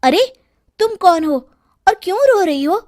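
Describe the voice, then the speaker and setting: A woman's voice: very high-pitched, animated, moderate pace, darkish tone, no echo, clean studio sound, pitched above the performer's own voice. A woman voicing a kind, earnest young farmer's daughter in a cartoon story. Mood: curious, helpful.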